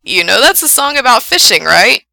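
A woman playing an excitable, chatty young male late-night radio DJ in a story, enthusiastic and teasing. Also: mid-range voice, normal speed, no room sound, low-quality recording. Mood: excited, teasing. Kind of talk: radio DJ patter in an audiobook story.

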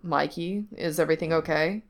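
A woman's voice: low-pitched, brisk. A woman voicing a caring, patient father figure in a story, speaking frankly and informally. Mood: casual, concerned. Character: caring, patient fatherly man, gently concerned.

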